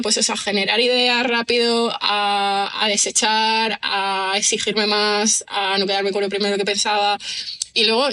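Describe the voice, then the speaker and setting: Bright-voiced woman thinking aloud. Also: a little high. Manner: thinks aloud with many fillers and restarts, laughs easily. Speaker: guest, writer and screenwriter. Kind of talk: reflective conversational interview.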